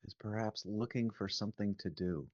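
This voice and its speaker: A man leading a guided meditation as a teacher, calm and measured, giving gentle instructions with long pauses. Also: fairly low pitch. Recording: phone-like narrow band, roomy echo.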